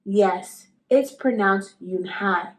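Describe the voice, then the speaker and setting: A woman's voice: mid-range voice, slow, natural voice, rough recording. A woman voicing a kindly, helpful male shopkeeper in a children's story.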